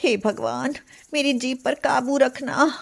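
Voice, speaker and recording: A woman's voice: high voice, steady tone, slow, vocal fry, full character voice. A woman playing a young, sly yet sweetly deferential daughter-in-law in an acted moral story. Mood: alarmed, muttering.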